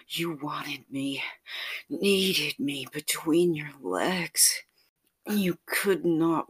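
Very slow breathy whispering narrator. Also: medium pitch, dry recording. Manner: slow, soft, lingering delivery. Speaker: erotic story narrator. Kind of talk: intimate erotic narration.

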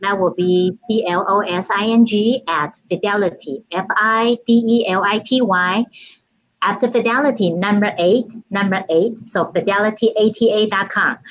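A woman's voice: mid-range voice, normal speed, muffled recording. Chatty woman with a Taiwanese accent. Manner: chatty, self-mocking, with many restarts and "you know". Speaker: guest. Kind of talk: casual video interview.

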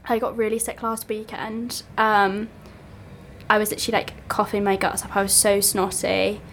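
A woman's voice: medium pitch, flat delivery, moderate pace, a bit bright, a little hiss or hum. A young woman from Cornwall co-hosting a podcast, bubbly and full of laughs and fillers, a bit snotty with a cold. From Cornwall, England. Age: young adult. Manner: bubbly, laughs often, lots of "like". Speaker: podcast co-host. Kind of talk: casual chat. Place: student radio studio.

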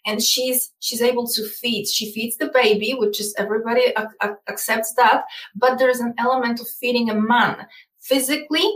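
A woman's voice: a little high, steady tone, moderate pace, a bit bright, echoey room, far from the mic, poor audio. A woman with a Polish accent, talking as a guest in an interview, explaining earnestly and often repeating words. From Poland. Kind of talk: casual interview talk.

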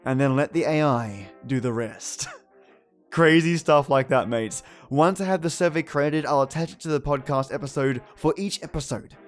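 A man's voice: a little high, moderate pace, soft background music. A man hosting a podcast, giving chatty, matey commentary to his listeners. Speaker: podcast host.

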